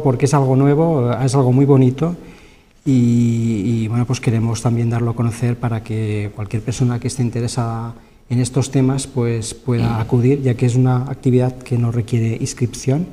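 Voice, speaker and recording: A man, a guest in a local TV interview, explaining an event program with frequent halting fillers. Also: medium pitch, warm tone. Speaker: science week organizer guest. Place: local TV studio. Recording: reverberant studio sound.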